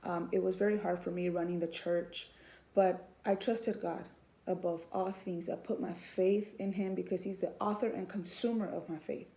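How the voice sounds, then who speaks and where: A woman tells her own faith story in a personal testimony. She speaks earnestly, pausing often with ums as she gathers her thoughts. Her voice is warm and mellow and sits slightly low. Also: steady tone. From Houston, Texas. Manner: earnest, hesitant with many ums, faith-filled. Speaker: student and mother.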